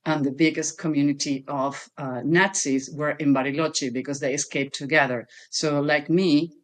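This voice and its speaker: A woman from Argentina with an Argentine Spanish accent, speaking English as a guest expert on a video call, lively and quick to laugh. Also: sits low. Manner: lively, laughs easily, non-native grammar. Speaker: human behavior expert guest. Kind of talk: conversational interview.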